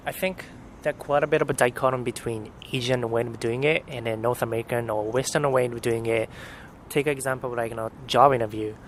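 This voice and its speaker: A man's voice: mid-range voice, steady tone, quick pace, slight background noise. Man weighing his words outdoors. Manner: thoughtful, searches for words, many fillers. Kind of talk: casual conversation.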